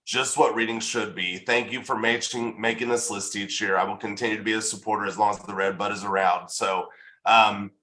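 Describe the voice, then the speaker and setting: Guy with lowish bright voice, echoey room, informal earnest thank yous, bit hesitant. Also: fairly even intonation, brisk. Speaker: event co-organizer. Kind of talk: informal thank-you remarks at online event. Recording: roomy, echoing sound.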